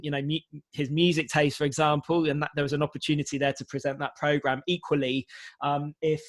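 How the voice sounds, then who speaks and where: A man with a fairly high voice and very even intonation talks on a panel. He explains things unscripted, hesitating and doubling back on words. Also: dry recording. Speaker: prison radio organisation representative on a panel. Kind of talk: spontaneous panel talk.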